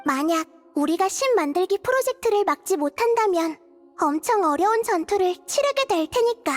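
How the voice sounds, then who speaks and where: Game role: a wise, gentle childlike goddess, tiny very high voice, reflective and earnest, music behind. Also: female voice, lively intonation, moderate pace. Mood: resolved, thoughtful. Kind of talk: video game character dialogue.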